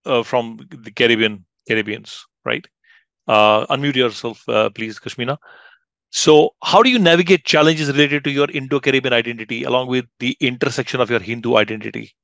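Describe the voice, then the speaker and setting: Gravelly middle-aged man hosting a call. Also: mid-range voice, a bit bright, no room sound. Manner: halting, repeats words. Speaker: host. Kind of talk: interview questions. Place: small room with green wall. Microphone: headset on video call.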